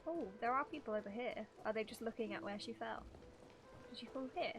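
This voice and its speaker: A young woman giving casual, giggly live reactions as she streams a game, rambling in an animated way. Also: fairly high pitch, brisk. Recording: loud game music behind.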